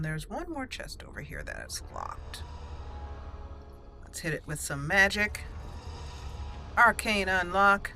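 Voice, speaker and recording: A woman's voice: fairly low pitch, bright, forceful tone, a little hiss or hum. A woman hosting a gaming channel, giving casual gameplay commentary in a chatty, playful way. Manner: chatty, playful, laughs at her own jokes. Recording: faint game music behind.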